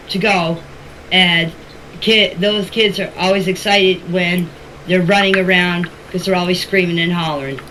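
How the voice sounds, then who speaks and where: A woman's voice: fairly low pitch, sharp tone. An older woman talking informally in voice messages to a group chat, emphatic, opinionated and repeating herself. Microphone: phone voice message. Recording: noisy amateur voice message recording.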